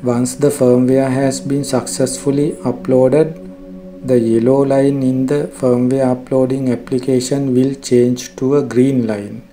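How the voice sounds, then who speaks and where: Male narrator for a tech how-to: formal, measured, very flat intonation, clear music bed underneath. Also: medium pitch. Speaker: tutorial narrator. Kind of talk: scripted instructional narration. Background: background music.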